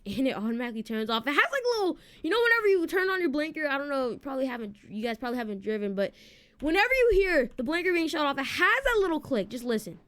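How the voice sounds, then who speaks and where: Animated high-voiced woman presenting a game. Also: rapid-fire. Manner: animated, informal, addresses viewers directly. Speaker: video game streamer and presenter. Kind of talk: casual gameplay walkthrough commentary.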